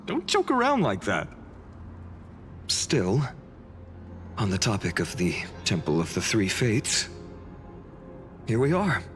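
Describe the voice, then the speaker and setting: Game hero, young man. Earnest, haunted undertone, very slow delivery, music underneath. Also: medium pitch. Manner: earnest, measured, reflective. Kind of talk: English video game voice acting. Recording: long reverb, music behind.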